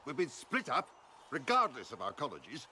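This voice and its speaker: Whiny aggrieved wizard in a game, sharp tense voice, jumps up and down in pitch. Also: male voice, wildly swooping pitch, normal speed, slight background noise, exaggerated voice. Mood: indignant. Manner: aggrieved, forceful. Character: indignant, complaining male mage. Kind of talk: video game character dialogue.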